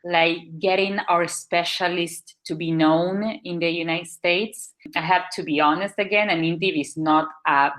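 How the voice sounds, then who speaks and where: A woman with a low voice answers interview questions. She pauses often, restarts her sentences and fills the gaps with "uh" and "like". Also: steady tone, fairly bright tone. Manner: thoughtful, with frequent fillers and restarts. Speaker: marketing manager, guest.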